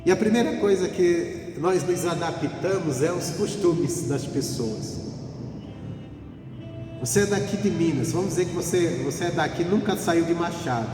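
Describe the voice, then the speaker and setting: A man's voice: a little high, lively intonation, moderate pace. Man preaching in echoey hall. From rural Pernambuco, Northeast Brazil. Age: middle-aged. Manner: conversational, repeats phrases for emphasis. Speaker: pastor preaching. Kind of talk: informal, anecdotal sermon. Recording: echoey hall, distant mic, faint music.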